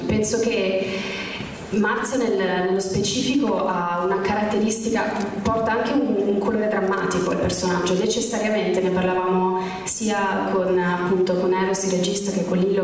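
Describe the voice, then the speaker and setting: A woman speaks slowly and thoughtfully on a festival panel, her voice a little low. She talks into a handheld mic, heard from far off with heavy echo and faint hall noise. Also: darkish tone. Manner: measured, thoughtful, slow pauses. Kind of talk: press panel talk. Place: large cinema hall stage. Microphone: handheld mic, far camera.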